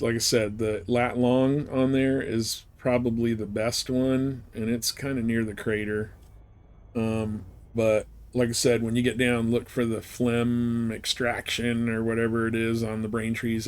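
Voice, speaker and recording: Male streamer, mid-pitched voice, off-the-cuff gameplay talk, loosely explaining things in everyday language. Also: level tone, a little slow. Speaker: game streamer. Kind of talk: casual live gameplay commentary and advice.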